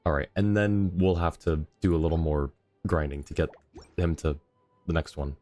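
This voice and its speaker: Low voiced guy streaming a game, chatty, thinks out loud, faint music behind. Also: moderate pace. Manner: chatty, thinks aloud, reads out chat. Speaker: game livestreamer. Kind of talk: casual livestream chat and game commentary.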